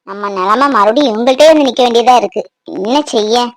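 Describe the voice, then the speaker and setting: A woman's voice: high voice, brisk, no room sound, natural voice. A woman playing a resentful, defiant daughter-in-law in a family drama, complaining sardonically. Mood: scornful muttering.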